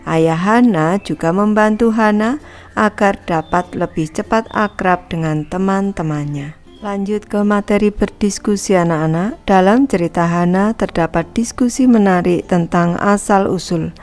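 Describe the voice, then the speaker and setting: A woman's voice: medium pitch, measured pace, a little mellow, faint music behind. A woman teacher who reads a textbook lesson aloud to schoolchildren and explains each part patiently, speaking to the class directly. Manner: calls herself Ibu and addresses the class as anak-anak.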